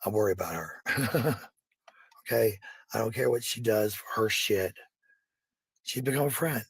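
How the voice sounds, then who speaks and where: A middle-aged man with a gravelly, husky voice talking casually to viewers in a blunt, profane, rambling monologue, repeating phrases for emphasis. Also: fairly low pitch, measured pace, dry recording.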